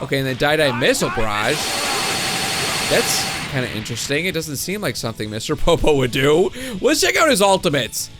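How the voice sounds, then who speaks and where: A man's voice: fairly high pitch, very animated, fairly quick. A young man hosting a gameplay video, musing uncertainly and chuckling often. He is getting over a cold, so his voice sounds a bit off. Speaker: gaming video host. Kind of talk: casual gameplay commentary. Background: game music.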